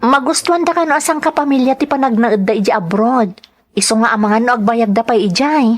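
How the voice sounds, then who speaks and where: A woman playing a gentle, dutiful young woman in love in a radio drama, with an Ilocano accent. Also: fairly high pitch, fast, natural voice. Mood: concerned, surprised. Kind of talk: radio drama acting and first-person narration.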